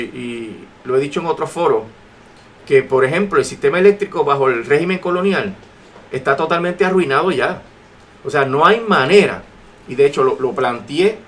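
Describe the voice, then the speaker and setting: A man with a Puerto Rican accent giving emphatic political commentary as a guest on talk radio, repeating phrases for weight. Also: mid-range voice. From Puerto Rico. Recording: noisy AM radio talk recording.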